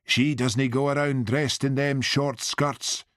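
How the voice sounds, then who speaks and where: A man's voice: medium pitch, little pitch movement, measured pace, a bit bright, dry recording, voice pushed up, close to an everyday voice. A man voicing an eccentric, matter-of-fact Scottish truck driver with a broad accent. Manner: dry, matter-of-fact, odd.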